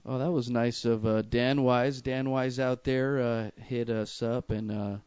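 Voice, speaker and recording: A man's voice: medium pitch, steady tone, unhurried, a little mellow, clean tone, no room sound. Joking, sweary man hosting a stream. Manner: loose, joking, sweary, many fillers. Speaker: host. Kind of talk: casual comedy chat. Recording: dull lo-fi live stream audio.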